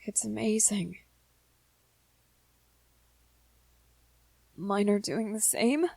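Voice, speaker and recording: A woman voicing a gentle, lovestruck young vampire man in a romantic ASMR roleplay, earnest and hesitant, with small stammers. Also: mid-range voice, a little slow, gravelly, no room sound. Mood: tender, awed.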